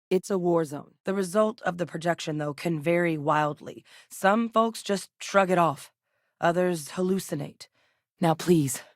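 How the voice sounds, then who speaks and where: A composed female military scientist played by an actress for a video game. Her voice is low-pitched and recorded very dry. She speaks in a measured, serious way, with the earnest weight of a commander. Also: darkish tone, no room sound, natural voice.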